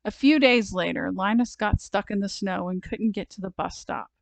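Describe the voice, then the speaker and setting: A woman narrating a children's storybook aloud, expressive and deliberate in her phrasing. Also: mid-range voice, creaky, no room sound. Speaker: storybook reader.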